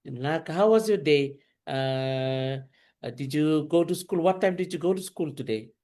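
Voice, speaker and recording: A host, interviewing a guest in casual chat and asking gentle questions with many hesitant fillers. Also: medium pitch, normal speed. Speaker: talk show host interviewing a student. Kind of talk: casual interview chat, switching between Fijian and English.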